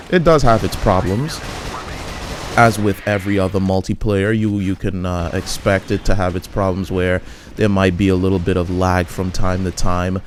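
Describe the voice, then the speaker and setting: Low-voiced man rambling over gameplay. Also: darkish tone, a little hiss or hum. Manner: rambling, frequent restarts and fillers. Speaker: gameplay commentator. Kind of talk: casual gameplay commentary.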